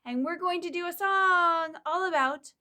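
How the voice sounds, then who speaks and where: A woman leading a children's storytime, talking directly to young kids in an animated, encouraging way as she presents songs and reads aloud. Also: very high-pitched, unhurried.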